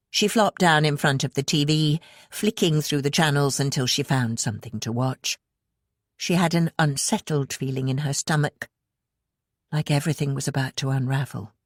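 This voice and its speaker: A woman's voice: deep voice, dry recording, clean studio sound. A woman reading a suspense audiobook as narrator, telling the story expressively and dramatically. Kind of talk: scripted suspense fiction narration.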